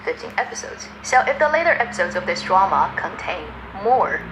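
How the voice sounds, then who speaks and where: A woman presenting her own video essay, explaining film dialogue in a measured, scripted way. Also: lowish voice, big pitch swings, background noise. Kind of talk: scripted narration.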